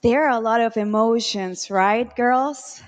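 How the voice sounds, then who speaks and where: Slow, deliberate female pageant host. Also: a little high. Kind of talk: live stage hosting. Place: large arena stage.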